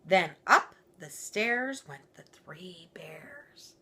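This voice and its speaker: A woman's voice: lowish voice, lively intonation, very slow, pushed and bright, slightly rough. A woman reading a children's story aloud expressively, with informal, playful asides.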